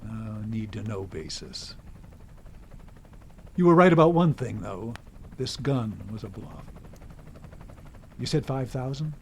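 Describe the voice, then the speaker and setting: An actor playing a bitter, cagey older American father in an audio drama, gruff, folksy and unsentimental. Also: fairly low pitch, expressive, slow pace, long pauses, slight background noise. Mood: dismissive.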